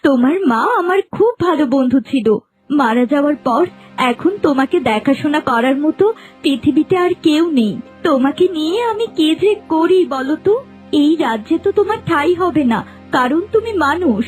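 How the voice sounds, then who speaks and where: A woman's voice: high voice, a little mellow. A woman voicing a powerful, protective fairy in a cartoon, commanding yet tender. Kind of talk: cartoon character dialogue. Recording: music behind the voice.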